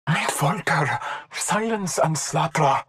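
Game casting: solemn masked hunter, formal and devoted, very slow, deliberate delivery. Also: female voice, low voice, expressive, fairly bright tone, full character voice. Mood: devoted, restrained. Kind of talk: video game character voice lines.

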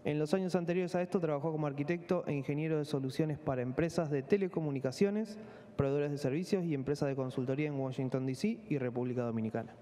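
A man's voice: fairly high pitch, quick pace, warm tone. Man formally introducing a speaker. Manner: formal, read-out. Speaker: session moderator. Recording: hall reverb.

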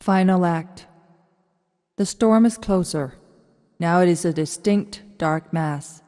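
Unhurried, mellow female narrator in hall reverb. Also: lowish voice. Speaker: narrator reading stage directions. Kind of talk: scripted radio drama narration.

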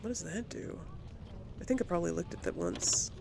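A woman doing casual gameplay commentary. She thinks aloud chattily, with lively pitch and frequent fry, over faint background noise. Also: brisk. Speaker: let's play host.

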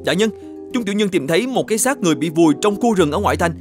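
A loyal cartoon officer with a high male voice. He reports fast and respectfully, as music plays softly behind him. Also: animated. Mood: dutiful, earnest. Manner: deferential, brisk reporting. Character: dutiful, deferential male officer serving the governor. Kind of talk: animated folk tale dubbing.

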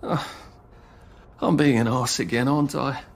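A man's voice: medium pitch, steady tone, normal speed, gravelly. An actor with a British accent playing an awkward, evasive, self-mocking Englishman in a video game, stammering and hesitant. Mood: sardonic, sheepish. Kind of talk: naturalistic video game acting.

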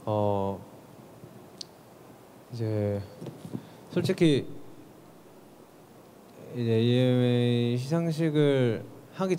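A man's voice: mid-range voice, mellow, slight background noise. A young man speaking candidly into a handheld mic at a press conference.

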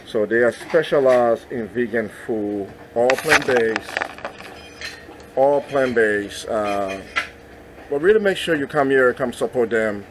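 A man's voice: mid-range voice, slow pace, background noise. Easygoing man vlogging at a garden cafe. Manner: easygoing, repeats himself, plugs the place. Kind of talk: casual vlog narration.

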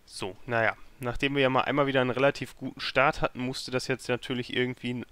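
A man's voice: medium pitch, steady tone, quick pace, fairly bright tone, clear, resonant tone, dry recording. A man hosting a let's play with casual, self-deprecating and grumbling commentary into a microphone. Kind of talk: casual video game commentary.

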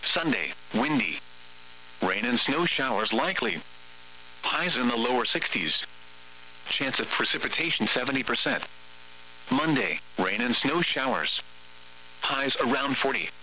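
A synthetic male text-to-speech voice reading a scripted weather forecast in a formal, measured announcer manner. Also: mid-range voice, very animated, pushed and bright, low-quality recording. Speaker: automated weather radio announcer. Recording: radio broadcast with faint hum.

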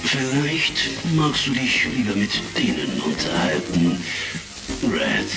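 A deep, slow intelligence chief. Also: male voice, huge pitch swings, vocal fry, heavily acted. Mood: stern. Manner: measured, authoritative. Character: stern male secret service chief. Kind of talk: retro science fiction radio play acting. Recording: distant, loud music, vintage crackle.

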